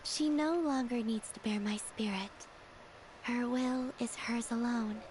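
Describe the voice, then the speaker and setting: A woman plays a gentle female moon spirit from an anime game. Her voice is fairly high, and she speaks with formal, poetic grace and serenity, over faint ambience. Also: a little slow, a little hiss or hum, close to an everyday voice, poor audio. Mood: tender. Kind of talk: anime video game voice acting.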